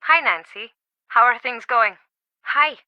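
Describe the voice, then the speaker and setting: A woman's voice: a little high, takes it slowly, no echo, highs cut off. An actress playing an earnest, devoted and easily affronted woman museum curator in a video game, with dramatic, emphatic delivery. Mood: warm, grateful.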